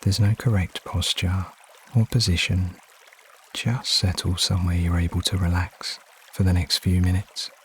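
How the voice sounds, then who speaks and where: A man leading a guided meditation as narrator, giving soothing, unhurried instructions with frequent pauses. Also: low-pitched, slight background noise. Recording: steady water ambience behind voice. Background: trickling stream water sounds.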